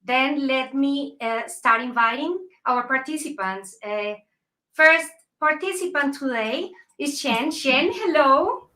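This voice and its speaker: Woman, Colombian-born, panel moderator, high voice, gracious and halting, video call. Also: bright, forceful tone. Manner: gracious, slightly halting with fillers. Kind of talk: hosting an online panel.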